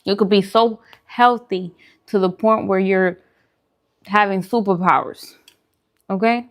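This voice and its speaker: A woman's voice: mid-range voice, fairly even intonation, unhurried. A woman food vlogger talking casually and reflectively to her viewers in a conversational, encouraging way. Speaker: vegan food vlogger and host. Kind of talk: casual mukbang chat to camera.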